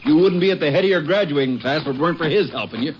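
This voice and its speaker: Man doing a stern small town dad, gruff, hissy muffled old radio. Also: fairly high pitch, racing, close to an everyday voice. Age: middle-aged. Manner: gruff, scolding. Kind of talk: 1950s radio drama acting.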